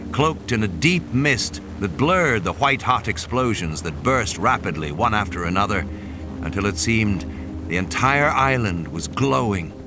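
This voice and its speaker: A man reading a dramatic scripted story as an audiobook narrator, with music and sound effects behind him. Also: fairly low pitch. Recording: music under the voice.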